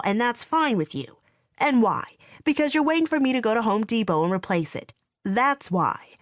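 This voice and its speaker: A woman voicing a resentful middle-aged aunt who complains and confronts in a sharp, raised voice. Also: a little high, no room sound, clean studio sound, voice pushed up, close to an everyday voice.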